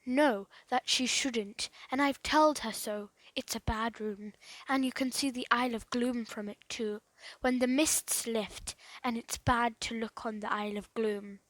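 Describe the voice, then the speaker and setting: A woman's voice: a little high, fairly even intonation, measured pace, a bit bright, no echo, poor audio. A woman voicing a sullen, bad-tempered, superstitious handyman from a children's storybook, giving grim warnings. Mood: grumbling. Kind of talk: children's storybook read aloud.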